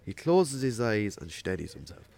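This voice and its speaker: An actor playing a wry, self-deprecating rural Irish farmer in a radio drama, telling stories colloquially. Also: male voice, lowish voice, sing-song, moderate pace, vocal fry, a little mellow. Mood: wry, suspenseful. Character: Jamesy, rural bachelor farmer, self-deprecating storyteller on a date. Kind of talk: naturalistic radio drama acting.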